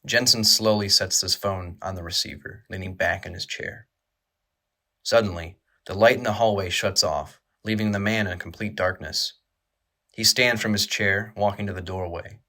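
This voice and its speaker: Low, bright-voiced male horror narrator. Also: steady tone, moderate pace. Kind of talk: dramatic scripted narration of a horror audio drama.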